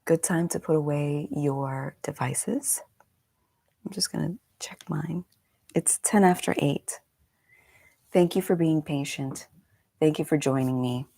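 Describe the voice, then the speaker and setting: A woman's voice: sits low, takes it slowly, creaky, long pauses, warm tone. A woman in her forties, a meditation coach, chatting casually on a live stream, slightly congested and rambling through friendly asides. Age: 40s.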